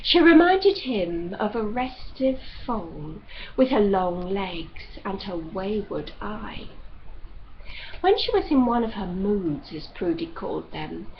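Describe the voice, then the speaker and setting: A woman reading literary fiction aloud as an audiobook narrator, in an expressive storytelling style. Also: mid-range voice, slow pace. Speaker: audiobook reader. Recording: dull lo-fi audio with light noise.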